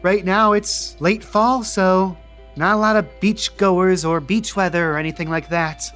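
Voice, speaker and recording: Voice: mid-range voice, fairly even intonation, unhurried, a bit bright, lightly acted. A game master voicing a cheery, slightly flustered small-town nurse, politely helpful, in a tabletop roleplay podcast. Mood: chatty. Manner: bubbly, polite customer-service patter. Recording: music under the voice.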